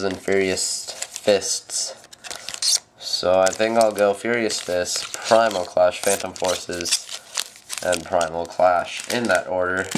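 A teenage boy hosting a card pack opening with a stuffed nose from a cold, rambling with lots of fillers. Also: low voice. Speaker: channel host. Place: home room at a table. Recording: noisy home camera audio.

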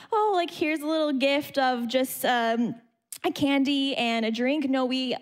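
A young woman with a Canadian accent, a youth center director speaking earnestly and softly into a handheld mic at a church, with many ums. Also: sits high. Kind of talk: guest talk at church. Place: church sanctuary stage.

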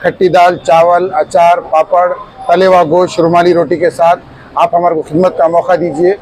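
Young man, polite and measured, slightly high voice, handheld mics in a small eatery. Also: little pitch movement, darkish tone, poor audio. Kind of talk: short formal greeting to news cameras. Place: crowded small eatery indoors. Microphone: cluster of handheld news mics.

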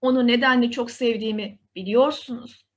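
A woman voicing a gentle, emotional young heroine in a storybook tale, earnest and emphatic. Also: fairly high pitch, steady tone, brisk, lightly acted. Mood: pleading.

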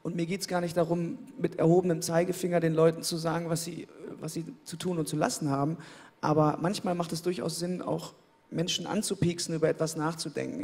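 A man in his thirties, interviewed on a studio stage, speaking into a handheld mic in a relaxed, thoughtful way, often repeating words as he searches for them. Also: a little high. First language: German. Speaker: interviewed pop singer. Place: indoor studio stage, seated in armchair. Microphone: handheld microphone.

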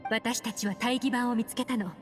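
An actress playing a composed, mysterious adult woman in an anime-style game, speaking in a poised, measured manner. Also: fairly high pitch, steady tone, natural voice. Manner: poised, measured, feminine phrasing. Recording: long reverb, music underneath.

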